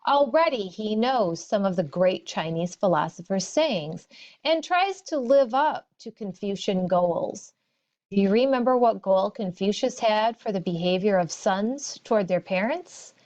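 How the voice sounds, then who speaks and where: A woman reading a textbook aloud as a teacher, in a deliberate, instructional way. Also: medium pitch, expressive, a little mellow, highs cut off. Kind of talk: read-aloud of story and textbook.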